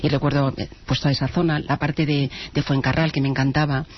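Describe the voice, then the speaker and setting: A woman from Spain with a Spanish accent, a singer speaking as a guest in a radio interview, chatty and animated, laughing often. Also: sits low, brisk, slightly rough. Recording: muffled radio sound with music.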